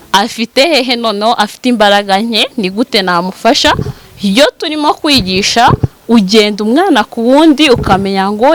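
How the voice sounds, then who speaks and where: A woman from a school, steadily explaining its teaching methods in an interview. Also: fairly high pitch. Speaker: school administrator. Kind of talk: interview statement.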